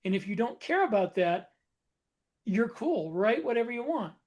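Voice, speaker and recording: Middle-aged man co-host, relaxed and rambling, casual chat. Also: very high voice, moderate pace. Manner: relaxed, rambling, self-correcting. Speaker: podcast co-host, author.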